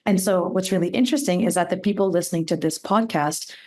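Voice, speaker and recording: Upbeat woman podcast host, lower voice. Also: fast, darkish tone. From India. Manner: upbeat, chatty, lots of "like" and "really". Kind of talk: interview hosting.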